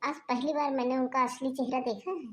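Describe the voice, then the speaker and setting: A woman's voice: high-pitched, steady tone, brisk, fairly bright tone. A woman voicing a brash young sister-in-law in a homemade family skit, talking in a blunt, grumbling way. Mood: indignant.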